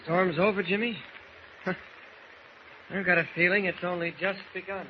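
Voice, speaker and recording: Casting: male actor as modest but commanding leading man, lighter pitch, dull hissy old radio serial sound. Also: steady tone, normal speed, lightly acted. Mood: tired, foreboding. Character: calm, commanding, modest newspaperman hero. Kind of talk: 1940s radio acting. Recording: muffled, noisy old radio recording.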